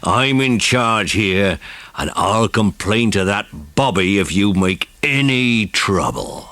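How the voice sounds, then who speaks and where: A man voicing a gruff, bad-tempered bully who speaks in curt, threatening working-class speech in a children's audio story. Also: lowish voice, expressive, takes it slowly, sharp tone, no room sound, exaggerated voice. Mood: menacing. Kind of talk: dramatised children's audiobook acting.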